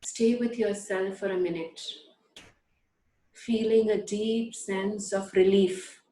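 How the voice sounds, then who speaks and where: A woman guiding a breathing and letting-go meditation, giving slow, soothing instructions with long pauses. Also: mid-range voice, steady tone, warm tone. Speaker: meditation guide. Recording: echoey room.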